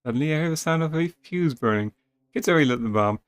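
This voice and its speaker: Expressive man reading a text game aloud. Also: fairly high pitch, quick pace, clear, resonant tone. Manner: expressive, acting out dialogue. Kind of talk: dramatic reading of a text adventure with casual asides.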